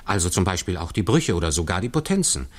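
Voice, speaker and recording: An actor in a 1960s German radio drama playing an earnest, deferential programmer, explaining precisely and step by step. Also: male voice, low voice, sing-song, fast talker. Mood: respectful, eager. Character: earnest, deferential male programmer who calculates by hand.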